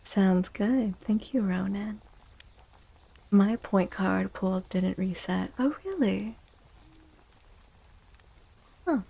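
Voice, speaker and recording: A woman reading tarot as a livestream host in a soft-spoken, near-whispered ASMR style, gentle and reassuring, close to the microphone. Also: mid-range voice, slow pace, creaky, slightly rough.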